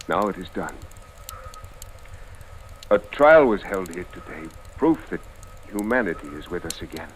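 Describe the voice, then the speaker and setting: An actor playing an anguished, sincere wartime soldier on trial in an old radio drama, speaking haltingly and earnestly. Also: male voice, low-pitched. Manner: halting, solemn, earnest delivery. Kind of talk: 1950s radio drama acting. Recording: noisy old radio broadcast recording.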